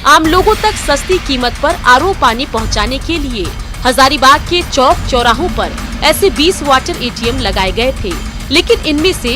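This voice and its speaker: A woman's voice: high-pitched, lively intonation, normal speed, hardly any pauses, music in the background. A woman with an Indian accent delivering a scripted television news voice-over. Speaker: news narrator.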